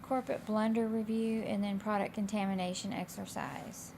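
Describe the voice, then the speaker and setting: A woman instructor with a slightly raspy, hoarse voice, explaining coursework in a casual, loosely phrased, conversational way. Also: medium pitch, steady tone, moderate pace. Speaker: college instructor. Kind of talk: informal explanation of course assignments. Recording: clear background noise.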